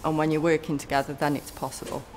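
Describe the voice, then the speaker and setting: A woman's voice: sits low, little pitch movement, fast talker, background noise. A woman who manages the pottery business, speaking earnestly in a documentary interview. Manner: earnest, occasional restarts.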